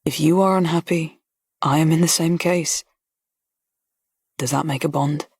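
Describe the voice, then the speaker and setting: A woman voicing a grave army colonel who speaks quietly and with restraint. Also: low-pitched, steady tone, unhurried, slightly rough, dry recording, studio quality, exaggerated voice. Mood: gentle.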